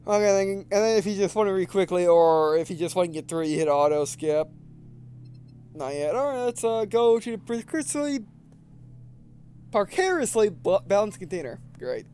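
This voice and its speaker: A man in his twenties giving casual, halting let's play commentary, often repeating phrases as he goes. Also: high voice, expressive, darkish tone, a little hiss or hum. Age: 20s. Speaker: gaming video host. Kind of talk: casual let's play commentary, reading game text aloud.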